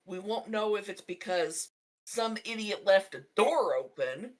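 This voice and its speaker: A woman speaks as a player in a tabletop game, half in character. She is casual, dry and decisive, with a medium-pitched voice that moves through a wide range of intonation. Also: lively intonation, measured pace.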